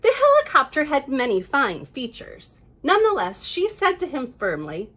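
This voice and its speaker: Children's storytime reader: American woman, middle-aged, reassuring and chatty, with lively swooping intonation. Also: sits high, moderate pace. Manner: gentle, reassuring, addressing young children with rhetorical questions and affirmations.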